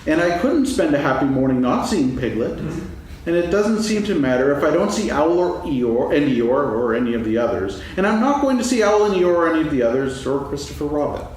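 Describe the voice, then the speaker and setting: Musing high-voiced gentle bear played by a man, echoey. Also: sing-song, fairly quick. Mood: dreamy musing. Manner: musing aloud, humming, singsong. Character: slow-witted, gentle, absent-minded, food-loving toy bear. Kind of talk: staged dramatic reading of a children's story. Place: stage, actors seated, live audience recording. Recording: echoey hall from far off, faint noise.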